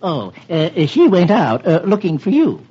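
A fussy, hesitant comic-strip photographer, mild-mannered and husky. The intonation is lively, and the old radio recording is dull. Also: male voice, sits high, measured pace, full character voice. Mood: flustered.

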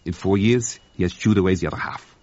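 A man voicing an indignant French head cook on a spaceship, with a French accent and a haughty, excitable manner. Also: low voice, fast talker, dry recording, dull audio. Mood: exasperated.

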